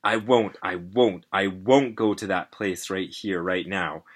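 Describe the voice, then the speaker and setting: A man's voice: sits low, unhurried. A man voicing an anxious, sleepless fifteen-year-old boy in a dramatic first-person story reading, with emphatic outbursts. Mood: agitated.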